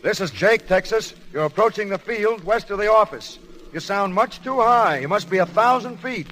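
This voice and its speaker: Commanding high-voiced airfield chief. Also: male voice, lively intonation, moderate pace, fairly bright tone. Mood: controlled urgency. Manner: terse, commanding, urgent. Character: steady, authoritative airfield chief talking a pilot down. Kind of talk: 1930s radio drama acting. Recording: faintly noisy vintage radio recording.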